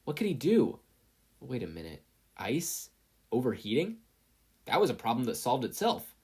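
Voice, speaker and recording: A narrator reading scripted fiction aloud in an animated storytelling style. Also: mid-range voice, moderate pace, slightly rough. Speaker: podfic narrator.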